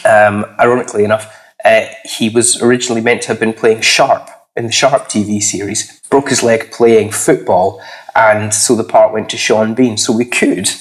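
A man with a husky, slightly hoarse voice, a podcast guest chatting casually, hesitant and full of fillers. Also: steady tone, normal speed. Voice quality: husky, lower than usual. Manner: rambling, hesitant with many fillers. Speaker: podcast guest, comics critic.

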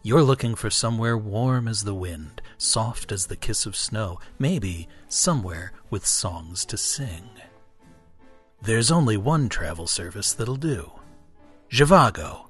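A man reading a parody advertisement voiceover in a smooth, sultry pitch. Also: expressive, slow, faint music behind.

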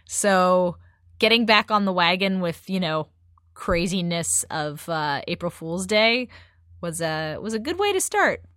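Low-voiced woman chatting enthusiastically. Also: lively intonation, unhurried, fairly bright tone, no room sound, studio quality. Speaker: radio play director on a podcast panel. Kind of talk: casual group discussion.

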